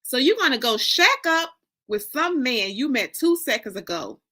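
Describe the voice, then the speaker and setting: A woman's voice: high-pitched, very animated, moderate pace, pushed and bright, heavily acted. A woman voicing an indignant, scolding mother in a dramatic book reading, with emphatic, folksy, confrontational phrasing.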